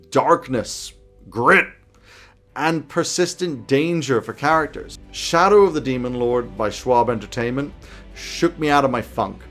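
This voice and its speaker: A man in his thirties presenting scripted commentary to camera in an emphatic, enthusiastic way. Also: on the high side. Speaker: tabletop roleplaying video host. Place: small home office, indoors. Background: background music.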